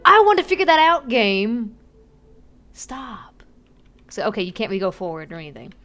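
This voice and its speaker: A woman streaming a game live, chatting excitedly and giggling as she plays. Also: a little high, moderate pace. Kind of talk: casual live gameplay commentary.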